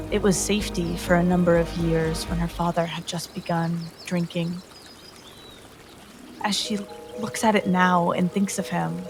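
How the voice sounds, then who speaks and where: A woman with a slightly low voice narrates as a player in a fantasy tabletop game. Her phrasing is dramatic and descriptive. Music is clearly heard behind her. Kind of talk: in-character fantasy storytelling. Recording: music under the voice.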